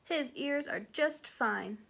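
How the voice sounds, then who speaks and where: A woman voicing a mother cat, firm but kindly, with a measured, gently scolding manner in a storybook. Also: sits high, expressive, creaky, exaggerated voice.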